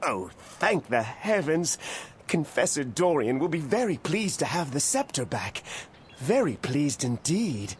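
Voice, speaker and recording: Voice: medium pitch, big pitch swings, a little slow, slight background noise. An actor playing an anxious, deferential scepter bearer in a video game cutscene. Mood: relieved.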